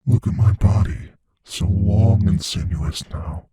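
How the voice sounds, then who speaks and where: An actor voicing a playful, possessive, menacing shape-shifting monster in an intimate audio roleplay, musing slowly in a husky, breathy near-whisper. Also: male voice, lowish voice, dark tone, no room sound, studio quality, exaggerated voice. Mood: curious, pleased. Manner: slow, musing, savoring pauses.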